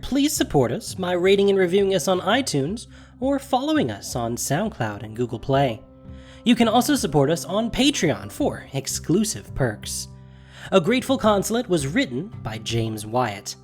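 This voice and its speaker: A narrator reading a scripted story in an expressive, deliberate storytelling manner. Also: mid-range voice. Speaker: narrator and producer. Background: music.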